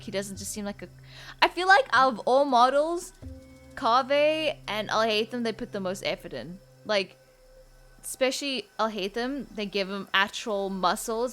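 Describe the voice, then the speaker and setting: A young woman records casual gaming commentary in a mid-pitched voice. She rambles and thinks out loud in an informal way, with faint game music underneath. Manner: rambling, informal, musing aloud. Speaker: gaming video creator. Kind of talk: casual let's-play commentary.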